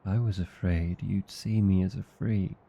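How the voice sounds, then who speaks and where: A man with an Australian accent playing an affectionate, drowsy vampire husband in an intimate ASMR roleplay, murmuring softly and tenderly. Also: fairly even intonation, unhurried, lo-fi sound, full character voice. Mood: sheepish, fond. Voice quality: low, gravelly, husky. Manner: languid, soft, tender murmuring.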